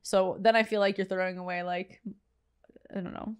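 A young woman hosting a podcast, chatting casually in a decorated studio with a boom microphone, relaxed and full of fillers. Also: moderate pace, clean tone. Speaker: podcast host. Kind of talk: casual chat. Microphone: studio mic on boom arm.

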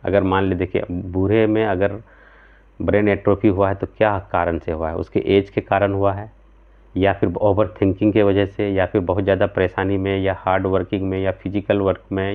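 A man in his thirties explaining homeopathic remedies to camera in an earnest, explanatory way, often checking in with his listeners. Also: deep voice. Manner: earnest, explanatory, gesturing with both hands, frequent "theek hai" check-ins. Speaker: homeopathic practitioner. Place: small room at home, indoors. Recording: tinny, phone-like sound.

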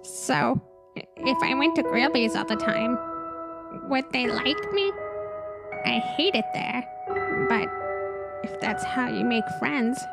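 A woman's voice: on the high side, slow, gravelly, long pauses. A woman voicing an earnest, naive young male skeleton in a comic dub, with emphatic, theatrical delivery. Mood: sad, hopeful. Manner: emphatic, theatrical scolding and eager questioning. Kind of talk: amateur comic dub acting. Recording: loud music behind the voice.

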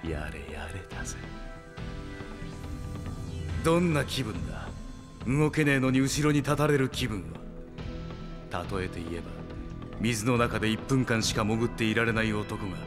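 An actor playing a tough, cool, vengeful young fighter in a Japanese audio drama, terse, taunting and quietly menacing. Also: male voice, mid-range voice, close to an everyday voice.

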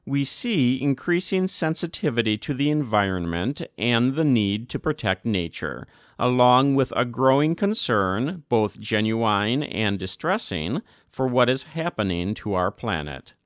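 A man giving a measured, earnest audiobook reading of a formal church document. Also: medium pitch, very animated, no echo, studio quality.

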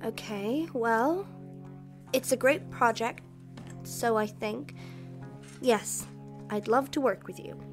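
An actress playing a principled young science student, earnest and conscientious, in a sci-fi audio drama. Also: female voice, on the high side, slow pace, long pauses, faint music behind. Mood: agreeable. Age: young adult.

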